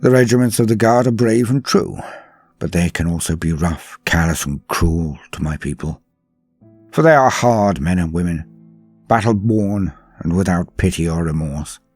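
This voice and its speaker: A man voicing a deep, husky, weary noble governor. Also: lively intonation, a little mellow, dry recording, faint music behind. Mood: grave concern. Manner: formal, grandiose, measured dramatic delivery. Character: weary proud noble planetary governor, man, grim and resolute. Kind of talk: dramatic first-person sci-fi audio story narration.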